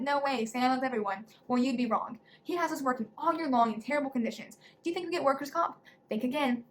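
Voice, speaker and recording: A woman's voice: high voice, natural voice. A teenage woman playing a disgruntled former Christmas elf in a chatty, sarcastic comic monologue. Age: teens, high school senior. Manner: chatty, sarcastic, addressing the listener directly. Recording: faint background noise, amateur recording.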